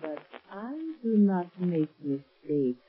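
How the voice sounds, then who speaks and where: An actress playing an exotic, coolly menacing cobra-charming villainess in formal, deliberate, taunting 1930s radio drama style, on a muffled old recording. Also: female voice, fairly low pitch, lively intonation.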